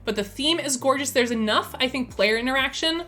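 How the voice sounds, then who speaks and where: A woman's voice: sits high, fairly quick, sharp tone. A young woman hosting a casual live stream, chatty and enthusiastic, her voice a little strained from being sick. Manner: chatty, enthusiastic, thinks aloud with fillers. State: slightly strained, losing her voice. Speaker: streamer and host.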